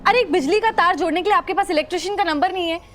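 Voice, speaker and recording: A woman's voice: very high voice, racing, music in the background. A woman playing an indignant, helpless-acting resident, whiny and demanding, in a web series. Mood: indignant, pleading.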